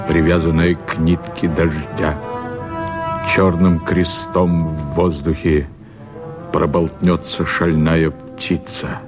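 An actor playing a grave, gloomy man who foretells doom in solemn, measured verse. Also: low-pitched. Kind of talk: declaimed poetic radio drama. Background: music under the voice.

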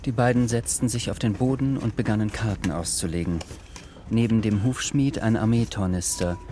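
A man narrating a literary radio drama from a script in a measured, sober manner. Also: on the low side, warm tone, a little hiss or hum.

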